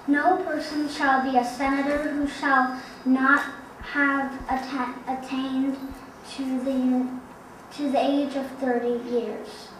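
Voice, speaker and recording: Female reader, halting, little pitch movement, distant and noisy recording. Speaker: guest reader. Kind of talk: halting reading aloud of a formal document. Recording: echoey, distant, clearly noisy.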